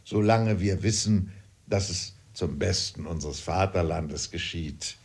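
A man voicing a gentle, good-hearted, naive uncle in a storybook reading, mild and earnest in manner. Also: deep voice, a little slow, gravelly, slightly rough, heavily acted. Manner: mild, soft-spoken, earnest. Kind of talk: audiobook character voice.

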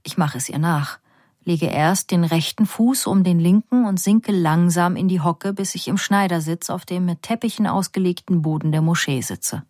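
A woman with a rather low voice reads a first-person story in a calm, measured and vivid way. The recording is dry and close, with no room sound. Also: no room sound. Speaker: audiobook narrator. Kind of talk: first-person audiobook narration.

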